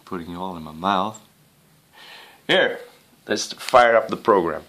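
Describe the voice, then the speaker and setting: Slow-talking, low-voiced man reviewing gadgets. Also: a bit bright. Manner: rambling, apologetic asides. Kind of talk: casual hands-on video review. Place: living room with wooden floor and rug.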